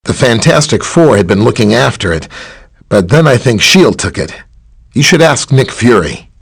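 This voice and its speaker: Male scientist superhero, husky medium voice, helpful, earnest, technical, video game dialogue. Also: mid-range voice, sing-song, moderate pace, heavily acted. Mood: informative, calm. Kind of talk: scripted game dialogue, explaining and briefing.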